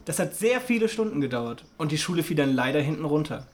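Casting: man playing an eager schoolboy, fairly high male voice, sincere and plain-spoken, radio play acting. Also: sing-song, quick pace. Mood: matter-of-fact. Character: earnest, eager schoolboy son dreaming of space.

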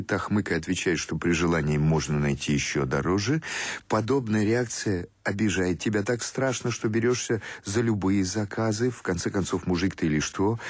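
A man reading scripted literary prose as the narrator of a radio play, in a measured way. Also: fairly low pitch, darkish tone, husky, no room sound.

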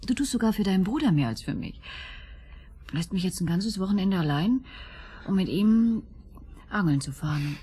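A very breathy, weary, wry wife. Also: female voice, medium pitch, expressive, moderate pace, pauses often, dark tone. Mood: wounded, reproachful. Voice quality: breathy, half-whispered. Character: weary, wry, needy wife of a jealous man. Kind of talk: German radio drama acting, intimate.

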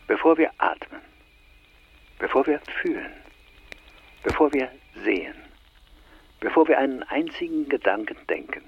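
Radio drama part: an older mystic thinker, husky, solemn, hushed, slightly muffled recording. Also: male voice, medium pitch. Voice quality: breathy, husky. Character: older male mystic philosopher, searching and solemn. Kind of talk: radio play, poetic dialogue.

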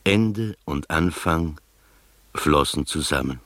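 A man acting as the sober first-person narrator of a radio play, telling the story in measured literary prose. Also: a bass voice, animated, fairly bright tone, no room sound, full character voice. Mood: sober, wondering. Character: male first-person storyteller, sober, quietly astonished companion.

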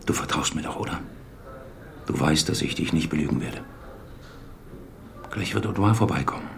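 An actor playing a reassuring middle-aged professor of medicine in an audio drama, with a gravelly voice and a calm, matter-of-fact manner. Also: male voice, rumbling low, normal speed. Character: reassuring older physician, professor and old friend of patient.

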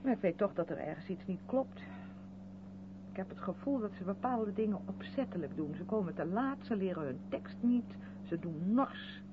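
A narrow, hissy vintage radio recording carries an actress as an earnest, defensive woman of the theatre. Her mid-pitched voice moves animatedly as she insists and justifies herself in radio drama style. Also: lively intonation, moderate pace, lightly acted. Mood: uneasy. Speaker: radio play actor. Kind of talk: Dutch 1970s radio drama acting.

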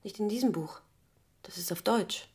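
An actress playing an inquisitive, persistent young granddaughter in a radio drama, eager and probing. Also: female voice, medium pitch, moderate pace, a little mellow, close to an everyday voice. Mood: curious, insistent.

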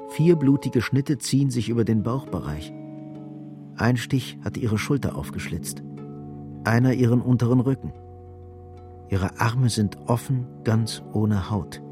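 Low-voiced grave male narrator. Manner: grave, measured. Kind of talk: dramatic scripted narration.

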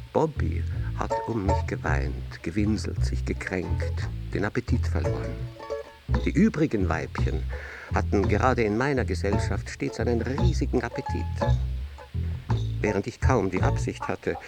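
A man playing ironic aging bachelor, very low voice, animated melody, gravelly, literary monologue, loud music behind. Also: unhurried, heavily acted. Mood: dry, sardonic. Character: weary, ironic, stingy aging bachelor devoted to a pet.

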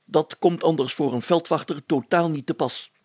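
A man's voice: a little high, moderate pace, a little mellow, no room sound, voice pushed up, natural voice. A man voicing a pompous, solemn, overcautious village mayor in a storybook reading, speaking in formal, stately phrases. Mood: pompous, grave.

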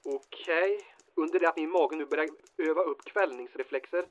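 An actress playing a dry, questioning, reluctant co-conspirator in an audio drama. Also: female voice, low voice, lo-fi sound, close to an everyday voice. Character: wry, hesitant co-conspirator, dryly reluctant.

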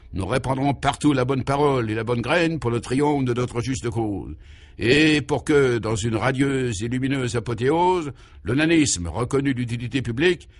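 A man's voice: medium pitch, lightly acted. A man voicing a pompous, fanatical party militant in a comic radio serial, speaking in an emphatic, declamatory style.

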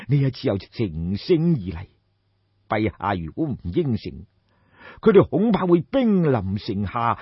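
A man voicing a grave, shrewd old royal minister in an audiobook, giving formal, deferential counsel in measured, deliberate phrases. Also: mid-range voice, breathy edge, no echo, lo-fi sound, exaggerated voice.

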